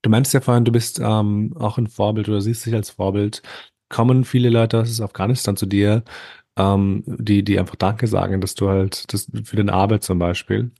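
A man interviewing a guest, asking long, calm questions with occasional fillers. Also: fairly low pitch, moderate pace, gravelly, no room sound, studio quality.

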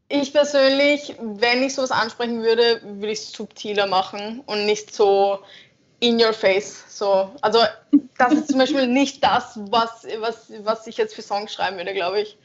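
Young woman, songwriter, hesitant, searching for words, slightly high voice, dull lo-fi sound. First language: German. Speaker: songwriter interviewee. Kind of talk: casual interview answers.

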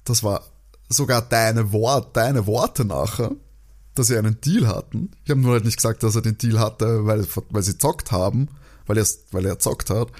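A man's voice: fairly low pitch, normal speed, darkish tone. Austrian man bantering as podcast host. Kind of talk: casual banter.

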